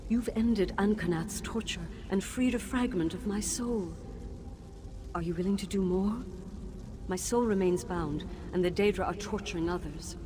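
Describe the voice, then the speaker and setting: An actress playing the mournful, solemn ghost of a wronged wife and former teacher in a fantasy video game. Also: female voice, mid-range voice, normal speed, noisy background, close to an everyday voice. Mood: solemn, sorrowful.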